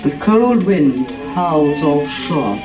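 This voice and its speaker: A woman's voice: low voice, expressive, extremely slow, loud music behind the voice. A woman announcer giving solemn, declamatory 1930s-style scripted narration. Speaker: radio announcer. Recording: crackly 1930s record, echoey distant playback.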